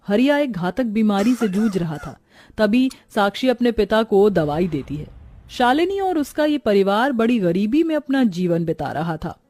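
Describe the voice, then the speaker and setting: Woman, story narrator, scripted moral tale, mellow tone, mid pitch.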